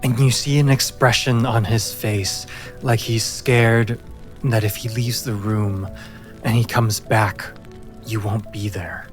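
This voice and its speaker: A man narrating a fantasy tabletop game as game master, in measured phrases with dramatic pauses. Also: fairly low pitch, fairly even intonation, soft background music.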